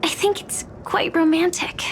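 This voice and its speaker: An actress playing a gentle, earnest young woman in a video game, speaking softly and hopefully. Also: extremely high pitch, steady tone, measured pace, background noise. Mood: wistful, tender.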